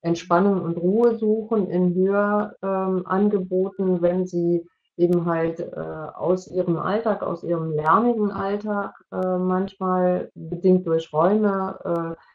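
A middle-aged woman explaining as an expert in an online discussion, with measured, hesitant phrasing. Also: on the low side, steady tone. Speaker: expert panelist. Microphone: video call. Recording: dull, lo-fi video call audio.

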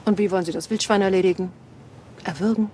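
An actress playing a skeptical, sardonic police boss in a comic radio drama. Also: female voice, medium pitch, steady tone, fast talker, music in the background, lightly acted.

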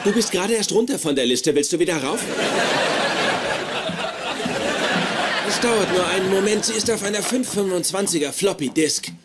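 Pompous, high-pitched young genius. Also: male voice, expressive, fast, over a noisy crowd. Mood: smug. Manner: pompous, precise. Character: pedantic, haughty young male genius scientist. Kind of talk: German dubbed sitcom acting. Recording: noisy sitcom soundtrack with laugh track.

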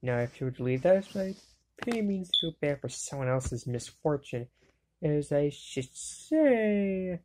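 A woman's voice: sits low, expressive, a little slow, darkish tone, a little hiss or hum. A woman voicing a brash, cocky, hot-headed young sidekick in an anime fan dub, slangy, mouthy and exclamatory, on an amateur home recording. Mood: baffled, sarcastic. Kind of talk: anime fan-dub acting, solo voice clips.

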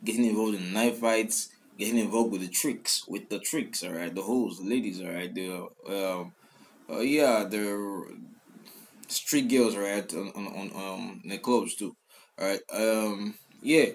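A man's voice: lowish voice, a little slow, slight background noise. Hesitant young man reacting to a song. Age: young adult. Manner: hesitant, filler-heavy, repeats lyrics back. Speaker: music reaction host. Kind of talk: casual song reaction commentary. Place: small room indoors. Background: song playing in the background.

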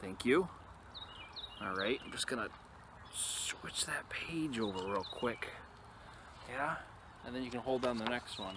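A man reading a picture book aloud expressively to young listeners, with casual, quieter asides, recorded outdoors. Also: medium pitch. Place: outdoors in an open field. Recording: noisy outdoor recording with ambient sound.

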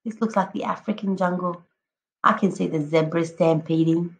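A woman teacher reading a picture book aloud to children, expressively, addressing them directly and encouragingly with drawn-out emphatic words. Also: lowish voice, steady tone, vocal fry, a little hiss or hum. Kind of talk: expressive children's read-aloud with prompting questions.

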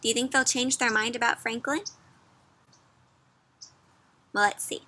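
A young adult woman reading a picture book aloud to children in an animated, interactive way, addressing her listeners directly. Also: a little high, fast talker. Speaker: children's story reader, host. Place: outdoors under trees.

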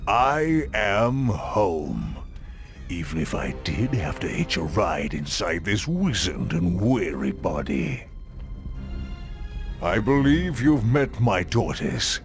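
An actor playing a villainous old sorcerer tyrant in a video game, gloating, domineering and theatrically menacing. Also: male voice, low voice, sing-song, slow pace, music in the background, heavily acted. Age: older. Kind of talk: video game cutscene acting.

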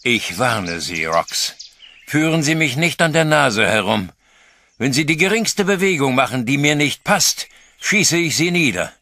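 An actor playing an old male farmer and grandfather, stern and fearful, in an audio drama, talking hushed and then grimly threatening. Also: medium pitch, sing-song, a little slow, dry recording, exaggerated voice. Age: older. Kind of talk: radio drama acting.

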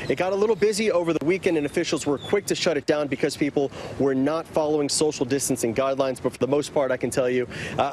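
Man, thirties, field reporter, outdoor live shot, brisk newscaster delivery, slightly high voice. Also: a little mellow, slight background noise. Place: outdoors by a city park at dusk. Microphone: clip-on mic, medium camera shot.

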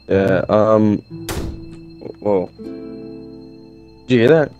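A man's voice: lowish voice, drawn-out words, dark tone, highs cut off, faint music behind. A man playing a laid-back but uneasy young friend in an improvised game skit, speaking casually and hesitantly.

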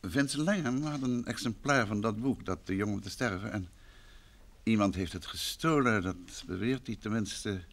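An actor playing a composed, courteous married gentleman sleuth in an old Dutch radio drama. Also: on the low side, normal speed, close to an everyday voice. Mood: pensive.

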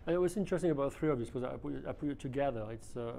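Mellow-voiced host asking searching questions. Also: male voice, mid-range voice, rapid-fire, gravelly, a little hiss or hum. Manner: hesitant, searching questions full of "uh". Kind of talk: interview questions at a round table. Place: restaurant dining table, indoors.

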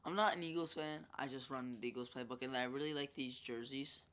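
A man's voice: mid-range voice, steady tone, brisk, a bit bright, clear, resonant tone. A young man with a congested voice, chatting casually as he comments on his own football video game match. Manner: chatty, rambling, filler-heavy. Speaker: gaming YouTuber.

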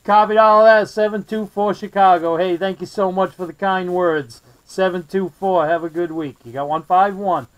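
High-pitched American man on CB radio. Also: fairly even intonation, moderate pace, few pauses, dull audio. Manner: friendly, courteous sign-offs. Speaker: CB radio operator, video maker. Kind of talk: CB radio contact chat, call signs and sign-offs. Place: indoor radio desk.

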